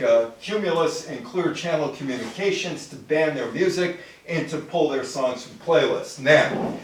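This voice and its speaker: A man's voice: on the high side, slight background noise. Older American man lecturing, very echoey. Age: older, grandfather. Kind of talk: informal public lecture on music history. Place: indoor room before a live audience. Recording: very echoey room, distant microphone.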